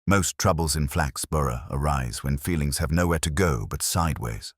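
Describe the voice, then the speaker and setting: A man playing a calm, diplomatic village police inspector, speaking mildly, steadily and precisely. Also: a bass voice, normal speed, slightly rough, no room sound, studio quality, full character voice. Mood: calm, kindly.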